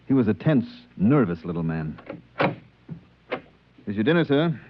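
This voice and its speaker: An actor playing a decent, wary spaceship purser, telling and acting the story in 1950s radio drama style. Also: male voice, lowish voice, very animated, unhurried, background noise. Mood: matter-of-fact. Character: plain-spoken male spaceship purser, wary, decent, reflective. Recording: narrow-band old radio with music.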